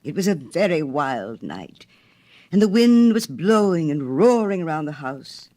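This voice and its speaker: A woman's voice: low-pitched, very animated, measured pace, mellow. A woman reading a literary story aloud in a theatrical manner, with dramatic phrasing. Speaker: storyteller, reader. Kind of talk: expressive literary storybook narration.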